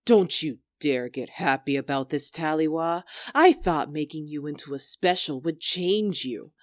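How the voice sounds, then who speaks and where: A woman's voice: low voice, expressive, a little slow, no echo, clean studio sound. A woman playing a domineering, scornful young female squad leader in a dramatic argument, biting, sarcastic and commanding. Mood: bitter. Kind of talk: dramatic two-character argument performance.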